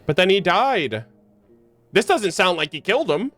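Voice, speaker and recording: A man's voice: high voice, sing-song, moderate pace, a bit bright. A man streaming a game, reacting and musing aloud in casual, slangy speech with short interjections. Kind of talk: casual live reaction and commentary. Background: game music.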